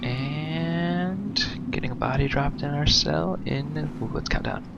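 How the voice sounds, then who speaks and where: A man's voice: fairly high pitch, slow pace, gravelly, muffled recording, over loud music. A man giving casual let's play commentary with wry, self-deprecating muttered asides, recorded on a mic too close to his mouth. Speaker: gaming video host. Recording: noisy close mic with breath sounds.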